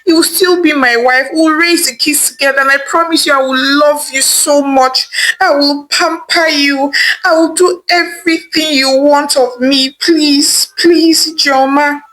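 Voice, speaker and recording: A woman's voice: high voice, fairly even intonation, unhurried, a bit bright, pitched above the performer's own voice, low-quality recording. A woman voicing an anxious young husband who pleads with his wife and repeats himself. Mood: pleading.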